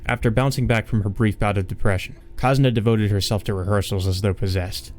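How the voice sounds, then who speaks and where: A man's voice: fairly low pitch, steady tone, fast, a little mellow. A man hosting a let's play, reading a visual novel aloud and adding casual asides. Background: game music.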